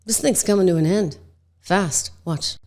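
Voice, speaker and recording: A middle-aged woman hosting a political talk show, slightly hoarse from a cold, talking emphatically and conversationally with lots of "like" fillers. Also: mid-range voice, measured pace, a crowd in the background. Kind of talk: opinionated political commentary.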